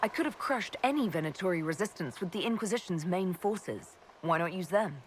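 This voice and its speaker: An actress playing a composed elf mage leader in a video game, questioning and self-assured. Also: female voice, on the low side, animated, brisk, a little hiss or hum, natural voice.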